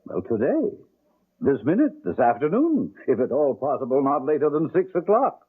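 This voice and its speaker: A courtly, sinister landlord in an old radio mystery, gushing with fussy delight. His husky voice swings widely in pitch, with theatrical pauses. The sound is narrow and vintage, like an old broadcast. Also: sing-song. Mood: unctuous, eager. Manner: fussy, effusive, theatrical, hesitant pauses and chuckles. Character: genteel, sinister landlord, devil in disguise, gleefully oversolicitous. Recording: muffled, band-limited old radio drama.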